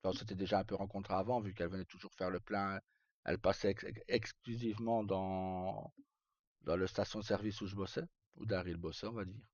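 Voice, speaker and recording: Low-voiced man chatting in a roomy space. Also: steady tone, fairly quick, darkish tone. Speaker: live streamer. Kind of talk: casual chat recounting roleplay stories. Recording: slightly muffled, roomy, faint music. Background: background music.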